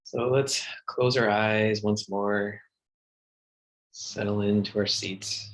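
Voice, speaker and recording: Male meditation guide: low, very unhurried, gentle delivery with soothing pauses. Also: steady tone, rough recording. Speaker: meditation teacher.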